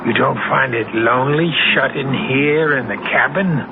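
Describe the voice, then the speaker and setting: A man's voice: mid-range voice, moderate pace, natural voice. Over a thin, noisy radio broadcast comes a man acting as a sea captain in a 1970s mystery drama. He is commanding and suspicious, brooding with jealousy and pressing his questions with menace. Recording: noisy, tinny old radio broadcast recording.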